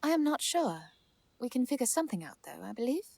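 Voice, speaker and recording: A woman's voice: high-pitched, sing-song, fast talker, vocal fry, warm tone, no room sound, clean studio sound, exaggerated voice. A woman playing a genial druid lady's companion in a fantasy audio drama, formally worded yet playful. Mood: teasing. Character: genial, teasing female druid companion to a lady.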